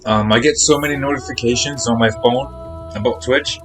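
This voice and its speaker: A man's voice: mid-range voice, steady tone, fairly quick, music in the background. A man chatting casually on a live game stream, rambling to himself as he eats. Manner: rambling, talking to himself while eating.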